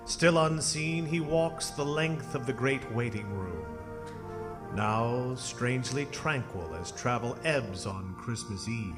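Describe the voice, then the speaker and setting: Male radio drama narrator, unhurried and highly varied in pitch, deliberate pauses, music underneath. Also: expressive.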